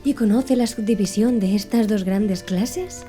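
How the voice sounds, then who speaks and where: A woman's voice: mid-range voice, a little slow, dark tone, faint music behind, natural voice. A woman voicing an earnest, pedantic man who lectures with didactic precision in an audiobook. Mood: didactic, patient. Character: Conseil, earnest, pedantic man reciting fish classifications. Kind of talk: audiobook character dialogue.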